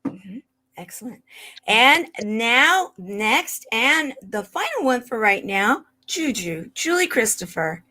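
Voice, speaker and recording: A woman's voice: a little high, sing-song, slow, sharp tone. A woman hosting an online panel, calling on each guest in turn. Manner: leisurely, introducing each guest by name. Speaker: panel host.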